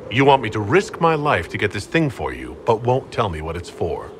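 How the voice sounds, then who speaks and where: An actor playing a composed, inquisitive hero and leader in a fantasy game, with a direct, questioning manner. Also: male voice, fairly low pitch, sing-song, moderate pace, background noise.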